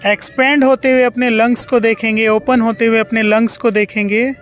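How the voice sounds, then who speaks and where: An Indian yoga nidra instructor guiding relaxation in a calm, soothing way with drawn-out instructions and pauses. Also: mid-range voice, faint music behind. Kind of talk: guided relaxation meditation.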